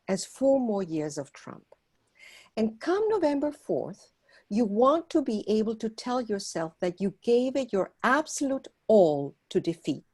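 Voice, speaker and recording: A woman from Argentina, a volunteer organizer, giving an earnest prepared talk at a meeting and pausing often on fillers. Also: a little high, dark tone, slightly rough. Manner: earnest, persuasive, with frequent filler pauses.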